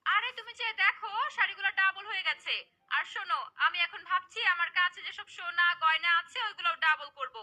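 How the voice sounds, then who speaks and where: A woman's voice: extremely high pitch, brisk, bright, forceful tone, full character voice. A woman voicing a gullible, greedy wife and mother in a cartoon, pushy and dramatic. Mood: excited, greedy. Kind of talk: cartoon character dialogue.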